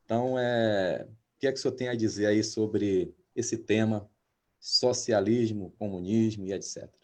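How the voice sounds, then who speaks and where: A man in his fifties, a pastor hosting an interview, talking hesitantly with many drawn-out filler sounds. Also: on the low side, fairly even intonation, a little mellow. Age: 50s. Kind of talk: interview, reading a guest introduction.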